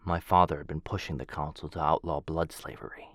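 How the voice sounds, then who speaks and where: Man playing a very deep-voiced gentle vampire lord. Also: flat delivery, a little fast, no room sound, clean studio sound. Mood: bitter, restrained. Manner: soft-spoken, formal, tender, with hushed breathy dips. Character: gentle, earnest aristocratic vampire lord, protective and melancholy. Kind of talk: intimate boyfriend ASMR roleplay.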